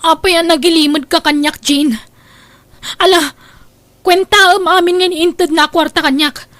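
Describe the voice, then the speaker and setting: A woman's voice: very high voice, fairly even intonation, moderate pace, a bit bright, no echo. A woman acting an anxious, resentful young daughter in an Ilocano radio drama, with an Ilocano accent and an emotional, reproachful way of talking. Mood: angry, accusing.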